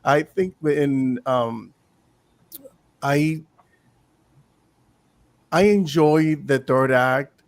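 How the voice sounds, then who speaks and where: A man's voice: a little high, drawn-out words, long pauses, dry recording. A man in his early fifties, a guest on a live review, talking in a rambling, self-correcting way. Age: 50s. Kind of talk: casual chat.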